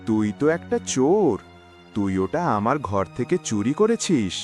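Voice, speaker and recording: A man's voice: mid-range voice, sing-song, a little slow, a little mellow, soft background music. A man playing a menacing, possessive villain in a cartoon drama, speaking in threats and accusations. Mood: angry menace. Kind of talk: dramatic animated villain acting.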